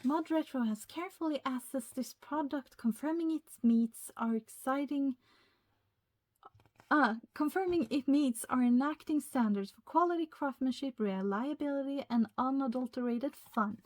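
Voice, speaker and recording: A woman's voice: sits high, moderate pace. Swedish woman chatting through an unboxing. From Sweden. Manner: chatty, excitable, reads packaging aloud. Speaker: YouTuber. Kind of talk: casual unboxing chat.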